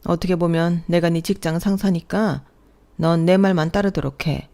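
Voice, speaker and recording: A woman's voice: lowish voice, fairly even intonation, moderate pace, lightly acted. A woman voicing a domineering elderly mother-in-law who scolds and orders her daughter-in-law around. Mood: scolding. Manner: sharp, scolding, commanding.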